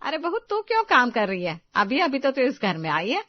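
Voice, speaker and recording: A woman's voice: sits high, wildly swooping pitch, brisk, no room sound, dull audio, heavily acted. A woman playing a kindly, indulgent middle-aged mother-in-law in story dialogue. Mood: fond surprise.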